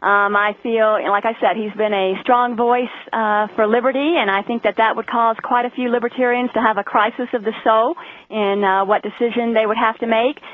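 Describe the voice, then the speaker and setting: A woman guest on a phone-in radio interview, a little hoarse from a cough, talking earnestly with many fillers. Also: fairly high pitch, steady tone, normal speed. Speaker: political candidate guest. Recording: tinny phone line.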